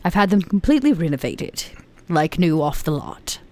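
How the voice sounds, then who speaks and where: A woman playing a shrewd, composed female vampire in a tabletop roleplay, speaking in measured, formal phrases. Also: on the low side, very animated, dark tone. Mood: gracious, businesslike. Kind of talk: tabletop roleplay actual play.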